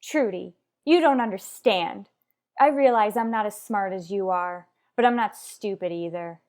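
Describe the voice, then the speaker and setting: A woman's voice: medium pitch, measured pace, darkish tone, natural voice. Anxious young wife in a radio soap played by a woman. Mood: hurt, defensive. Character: anxious, unhappily married young woman, defensive with family. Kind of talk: radio soap drama acting.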